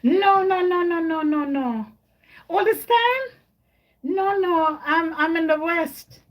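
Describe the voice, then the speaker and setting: A woman's voice: extremely high pitch, animated, slow pace, poor audio. A woman from Jamaica hosting a casual livestream, chatting with viewers, answering their comments and often repeating her words. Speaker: livestream host.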